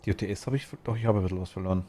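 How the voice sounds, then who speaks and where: A man's voice: sits low, rapid-fire, dark tone, a little hiss or hum. A man with a Bavarian accent chatting casually while streaming a game, rambling and murmuring to himself as he goes. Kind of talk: casual gaming chat.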